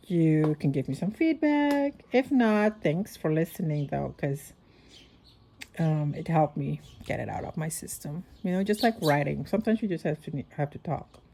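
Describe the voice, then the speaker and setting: Rambling woman, mellow voice. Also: low-pitched, a little hiss or hum. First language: Dutch. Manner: rambling, thinking aloud with many restarts. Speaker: podcast host. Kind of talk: casual voice-note monologue.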